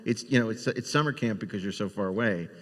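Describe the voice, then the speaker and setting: Low-voiced man moderating in an echoey hall. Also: fast, a bit bright. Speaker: panel moderator. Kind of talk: casual live panel questioning. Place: large indoor convention panel room. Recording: echoey hall with long reverb.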